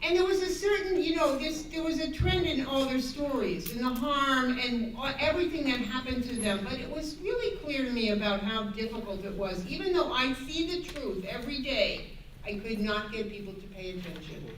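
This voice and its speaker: High-voiced American woman speaking from far off. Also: lively intonation. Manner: earnest, emphatic, halting with repeated rephrasing. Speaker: activist speaker on a panel. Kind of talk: unscripted advocacy talk to an audience. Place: echoey indoor room at a public panel event. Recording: echoey room, distant mic, background noise.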